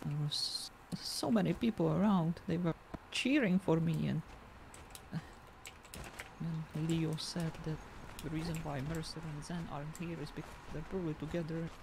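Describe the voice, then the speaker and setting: A woman improvising in-character conversation in an online game, halting as she searches for words. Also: low voice, creaky, noisy background.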